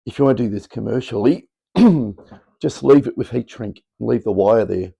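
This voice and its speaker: A middle-aged Australian man with a low, mellow voice, croaky and worn from a long day of talking. He rambles offhand and chatty, explaining as he goes, with a gravelly edge throughout. Recorded on a camera mic in a cluttered home workshop. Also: normal speed. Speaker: electronics hobbyist host. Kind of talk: casual workshop demo. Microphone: camera mic on tripod.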